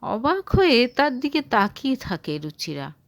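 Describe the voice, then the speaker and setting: Female narrator for romance audio story; emotive, unhurried, widely varied melody. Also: a little high, sing-song, dry recording. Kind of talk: dramatized romantic story reading.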